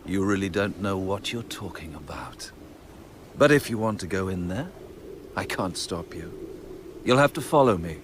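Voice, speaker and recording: An actor playing a menacing, secretive bookshop owner, giving hushed warnings in an audio drama. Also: male voice, low-pitched, lively intonation, moderate pace, background noise. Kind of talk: naturalistic audio drama acting.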